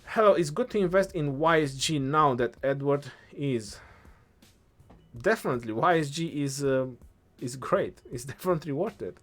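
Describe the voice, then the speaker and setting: A young man with an Eastern European accent, answering chat questions on a game live stream in a relaxed way, thinking aloud as he goes. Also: on the high side, normal speed, faint music behind.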